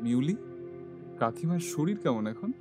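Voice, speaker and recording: An actor playing a mild, polite young city doctor in an audio story, speaking in a gentle, conversational way. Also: male voice, on the high side, animated, normal speed, over music, natural voice. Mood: concerned, earnest.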